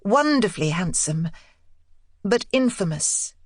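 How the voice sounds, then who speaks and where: A woman's voice: medium pitch, sing-song, measured pace, slightly rough, no room sound, clean studio sound. A woman voicing an intense, determined young governess in an audiobook, speaking in emphatic, broken phrases with urgent insistence. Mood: insistent. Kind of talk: audiobook character dialogue.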